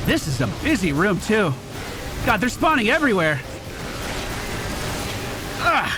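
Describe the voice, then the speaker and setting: A young man commentating his own video game run, excitable and quick to hype big moments. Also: high-pitched. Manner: excitable, shouts and hypes when things go well. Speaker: gaming streamer. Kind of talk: let's play commentary. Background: game music.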